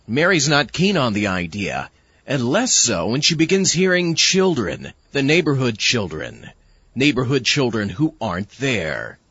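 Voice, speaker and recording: A man's voice: medium pitch, sing-song, measured pace, fairly bright tone, breathy edge, no room sound, lo-fi sound, heavily acted. A man playing an exasperated, sardonic sidekick and podcast host in a scripted comedy horror show. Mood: presenting. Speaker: host of an old-time radio horror podcast. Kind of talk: acted comedy framing sketch and scripted introduction.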